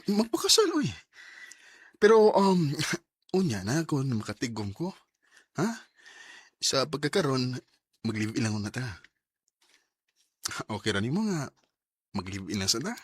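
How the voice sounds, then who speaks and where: A man's voice: medium pitch, big pitch swings, unhurried, long pauses, slightly rough, no room sound. A Visayan Filipino man playing an eager, affectionate young suitor and husband in a radio drama. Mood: coaxing. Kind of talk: Bisaya radio drama acting.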